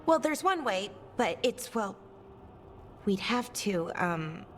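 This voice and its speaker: An actress playing an earnest, caring young woman adventurer in a fantasy game, speaking haltingly and tentatively. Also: mid-range voice, sing-song, a little hiss or hum. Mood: hesitant, worried.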